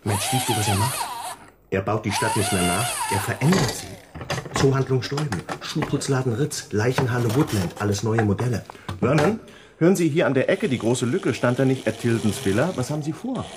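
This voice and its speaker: An actor in a German radio play voicing an inquisitive, earnest doctor who speaks emphatically and probingly. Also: male voice, medium pitch, wildly swooping pitch, brisk, heavy background noise. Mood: alarmed, probing. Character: worried, inquisitive doctor, fascinated then alarmed.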